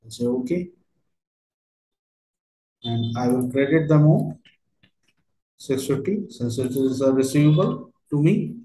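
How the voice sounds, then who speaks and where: Male software trainer, mid-pitched, halting step-by-step screen narration, roomy echo. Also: expressive. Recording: roomy, echoey voice recording.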